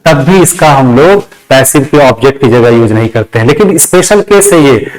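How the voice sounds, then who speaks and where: A man's voice: mid-range voice, animated, normal speed, poor audio. A man teaching a grammar lesson step by step, repeating phrases and asking himself check questions. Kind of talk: classroom lesson with step-by-step explanation.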